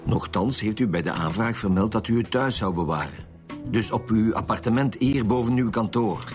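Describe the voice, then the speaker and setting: Man acting a low-voiced, sardonic Flemish detective. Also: animated, moderate pace, over music, natural voice. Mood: dry, businesslike. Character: gruff, sardonic male chief police detective. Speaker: actor in radio drama. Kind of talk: radio police drama acting.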